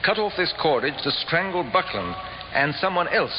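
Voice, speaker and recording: Courteous, probing detective inspector, lively intonation, formal radio-drama style, echoing vintage recording. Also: male voice, a little high, normal speed, dull audio, a little hiss or hum. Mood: courteous, shrewd. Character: courteous, shrewd male police inspector. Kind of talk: 1950s radio drama acting.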